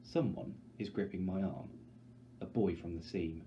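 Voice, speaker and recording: A man's voice: low-pitched, measured pace, dark tone. A man reading a story aloud as scripted, dramatic narration. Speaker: audiobook reader, teacher. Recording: dull recording with light background noise.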